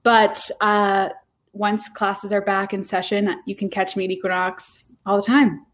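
A woman's voice: mid-range voice, level tone, a little slow. A woman in her early twenties from Rhode Island, a guest telling her story in casual, rambling talk full of fillers and restarts. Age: 20s. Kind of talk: casual interview chat.

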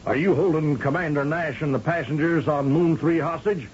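An actor playing a commanding, suspicious governor in an old-time radio science fiction drama. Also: male voice, fairly high pitch, moderate pace, close to an everyday voice. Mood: stern, suspicious. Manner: commanding, demanding, urgent. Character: blustering, suspicious governor of a space settlement. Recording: dull, noisy old radio drama recording.

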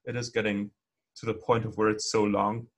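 A man's voice: fairly low pitch, flat delivery, normal speed. A man co-hosting a podcast in casual chat, rambling with lots of fillers. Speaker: podcast co-host.

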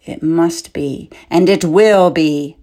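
A woman's voice: lowish voice, slow pace, warm tone. A woman reading a story, voicing a domineering, scheming king who speaks harshly. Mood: commanding. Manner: commanding, harsh.

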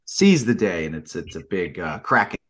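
A man co-hosting a podcast, hoarse from a chest cold, speaking in a laid-back, halting way. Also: low voice, big pitch swings. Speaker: podcast co-host.

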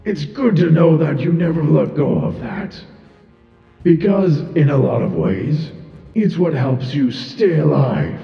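A man's voice: a little high, lively intonation, creaky. A man playing a proud god of war, cavernous reverb. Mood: proud, lecturing. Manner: grandiose, formal, deliberate speech. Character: proud, stern male god of war, ancient and honor-bound. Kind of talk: theatrical in-character monologue. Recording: muffled, reverberant hall effect with music.

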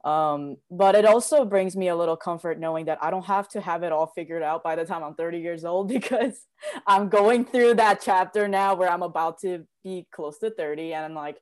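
A woman's voice: fairly low pitch, moderate pace, a little mellow. A woman in her late twenties from South Korea sharing her personal story in an earnest, reflective, emphatic way during a conversation. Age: late 20s.

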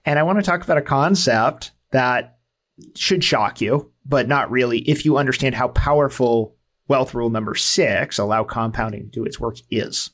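A man's voice: mid-range voice, normal speed, a bit bright. A man in his forties, hosting a podcast and explaining ideas in an easygoing, self-deprecating way with frequent fillers. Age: 40s. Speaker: podcast host. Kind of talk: casual explanatory talk.

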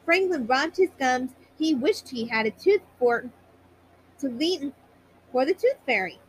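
A woman storyteller narrating a children's bedtime story in a high-pitched voice. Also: expressive, unhurried, slight background noise, rough recording.

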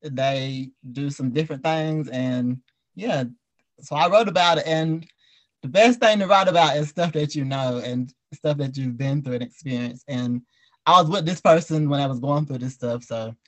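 A man's voice: a little high, moderate pace, poor audio. A man hosting a casual group interview, speaking in an informal, chatty way. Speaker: host and audio drama writer.

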